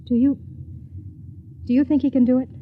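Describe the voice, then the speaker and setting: An actress playing an anxious, stubborn young pregnant wife who also narrates, in the style of 1950s radio drama. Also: female voice, high-pitched, flat delivery, moderate pace, slight background noise, natural voice. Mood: anxious questioning. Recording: tinny old radio broadcast, music behind.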